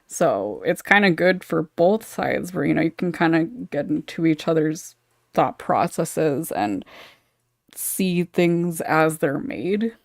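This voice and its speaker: Woman hosting, lively intonation with creaky edges. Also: deep voice, takes it slowly, no room sound, studio quality. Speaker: podcast host and moderator. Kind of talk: casual panel hosting.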